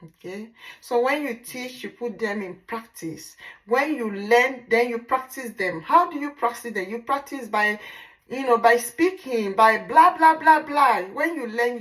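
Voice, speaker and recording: Nigerian woman, Igbo first language, teacher in a casual vlog; bright, fairly high voice, drills phrases slowly and repeats them. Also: animated, poor audio. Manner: repetitive drilling, slow deliberate pronunciation.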